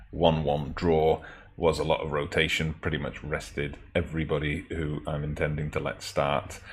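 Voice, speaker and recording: Male gaming host, extremely deep voice, slightly raspy throat, relaxed wry game commentary. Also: slight background noise. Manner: chatty, self-correcting, wry asides.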